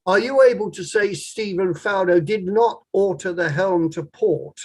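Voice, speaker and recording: A methodical inquiry lawyer played by a man in a play reading with a notably high-pitched voice for a male. He questions formally and precisely, probing point by point. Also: unhurried, darkish tone, husky, heavily acted. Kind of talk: table read of an inquiry play.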